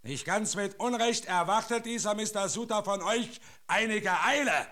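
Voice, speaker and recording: An actor in a German radio drama playing a bossy foreman, brisk and commanding. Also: high-pitched, pushed and bright. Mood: impatient.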